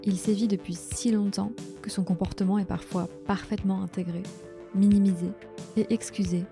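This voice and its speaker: A woman's voice: medium pitch, steady tone, moderate pace, over music. A woman hosting a podcast, moving between a scripted introduction and casual interview talk.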